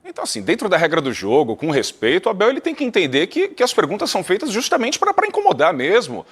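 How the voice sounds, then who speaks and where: A Brazilian man, a radio journalist guest, arguing a point in a measured way with hesitant fillers. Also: high-pitched, wildly swooping pitch, slightly rough. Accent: Brazilian Portuguese. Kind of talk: sports debate commentary.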